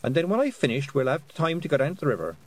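A man's voice: fairly high pitch, huge pitch swings, fast talker, a little mellow, pitched above the performer's own voice. A man voicing a sensible, stern young girl who talks firmly, like a parent, in a storybook reading. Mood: evasive. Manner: firm, parental, clipped.

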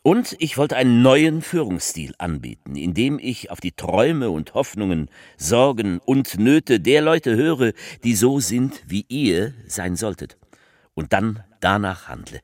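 A man playing a political speechmaker in a theatrical radio piece, addressing the people with halting, emphatic, repetitive rhetoric. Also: on the low side, big pitch swings, darkish tone, dry recording. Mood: fervent, declamatory.

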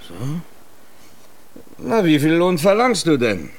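An actor playing a shrewd, stingy farmer with a coaxing manner in a children's radio play. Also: male voice, a little high, wildly swooping pitch, takes it slowly, exaggerated voice. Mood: shrewd, bargaining. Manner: calculating, coaxing. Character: shrewd, stingy farmer, falsely kindly husband.

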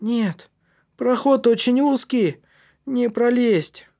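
A man voicing a small, earnest young boy with a squeaky, breathy, effortful voice in a story. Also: moderate pace, warm tone, lightly acted. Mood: worried.

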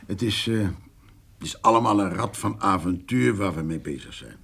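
An actor playing an older farmer in a radio drama, jovial and scheming, with a hesitant, coaxing way of talking. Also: male voice, deep voice, animated, moderate pace, creaky, a bit bright, breathy edge, heavily acted. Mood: persuasive. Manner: hesitant, wheedling, full of small interjections. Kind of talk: naturalistic radio drama dialogue.

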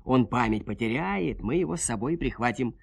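A man voicing a scheming female fairy-tale villain who gives brisk, conspiratorial orders. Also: mid-range voice, lively intonation, gravelly, slightly rough, voice pushed down. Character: scheming, commanding woman villain giving orders. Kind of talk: fairy-tale audio play.

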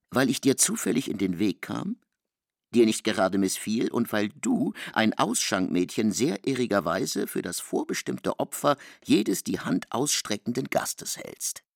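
A man narrating a literary radio play in a gravelly voice. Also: on the low side, sing-song, a little slow, no echo, studio quality, exaggerated voice.

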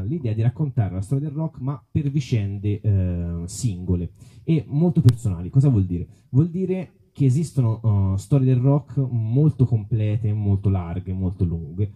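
A man's voice: on the low side, dark tone, slightly rough. A man giving an informal book talk, explaining and posing questions to himself. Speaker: author and musicologist presenting his book. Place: outdoor festival event.

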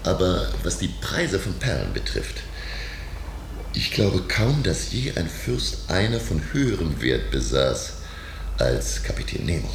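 Radio drama: erudite, nervy naturalist professor, gravelly, swinging intonation. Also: male voice, sits low, huge pitch swings, measured pace, heavily acted. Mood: lecturing. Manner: lecturing, hesitant when nervous. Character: learned, uneasy male marine naturalist professor. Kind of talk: radio drama dialogue and first-person narration. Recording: roomy sound with heavy background noise.

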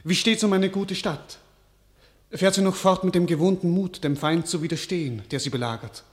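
A beleaguered king in a classic radio play, voiced by a man, swinging between weary resignation and impassioned outcry. His voice sits a little high and he declaims in measured verse. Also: close to an everyday voice. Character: beleaguered young king, weak and desperate. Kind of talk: 1950s-style German radio drama acting.

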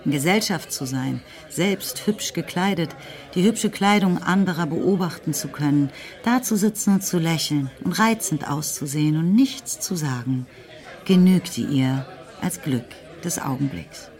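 Woman, radio play narrator, measured, calm. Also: sits low, a faint crowd. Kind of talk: scripted narration.

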